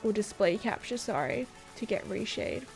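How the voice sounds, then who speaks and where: A woman's voice: mid-range voice, lively intonation, moderate pace, creaky, soft background music. A young woman streaming a horse game, chatting casually from home on a headset and reading viewer messages aloud. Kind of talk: casual livestream chat. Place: home room at a gaming setup.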